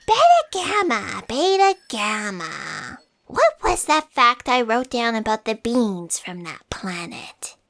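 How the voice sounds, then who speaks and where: Bright, animated scatterbrained fact-checker. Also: female voice, on the high side, slow pace, heavily acted. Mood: eager, flustered.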